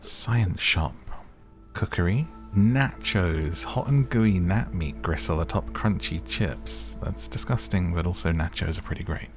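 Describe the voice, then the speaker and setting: A man giving relaxed ASMR gameplay commentary, musing gently and whimsically to the listener. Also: low voice, unhurried, music in the background. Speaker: gaming video host.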